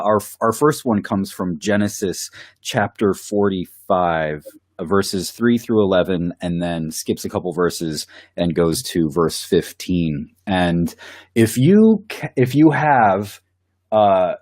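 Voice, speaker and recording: A man's voice: low voice, darkish tone, dry recording, clean studio sound. A man with a cold, co-hosting a Bible study in casual, enthusiastic chat. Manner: enthusiastic, with filler words and laughs. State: has a cold.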